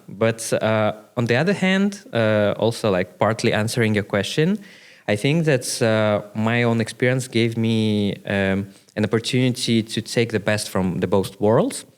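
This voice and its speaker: Hesitant man, low smooth voice. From Russia. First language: Russian. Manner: hesitant, many "uh" and "like" fillers, speaks in short bursts. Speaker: podcast guest, business owner. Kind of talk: casual interview conversation.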